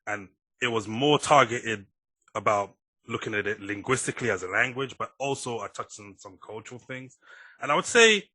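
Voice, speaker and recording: Man, podcast host, very bright voice, raspy, dry close sound, casual. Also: medium pitch, animated, moderate pace, dry recording, low-quality recording. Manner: casual, conversational with fillers. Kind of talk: interview conversation.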